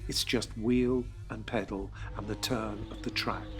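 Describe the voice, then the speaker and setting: A man narrating a dramatic audio drama, hushed and tense, then urgent. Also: fairly low pitch, fairly even intonation, measured pace. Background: music.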